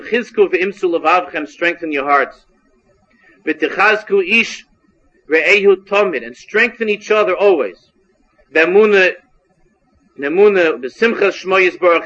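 A Hungarian-born man teaches Torah, reading a Hebrew line and then translating it bit by bit. His voice sits high, and he keeps repeating and restarting phrases. The recording is muffled and lo-fi. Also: pushed and bright. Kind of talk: text-based Torah lesson. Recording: dull lo-fi recording.

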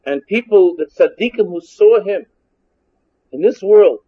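High-voiced Hungarian-born man teaching Torah. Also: lively intonation, a little slow, breathy edge. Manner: translates Hebrew phrase by phrase, repeats and restarts. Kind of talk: text-based Torah lesson. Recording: dull lo-fi recording.

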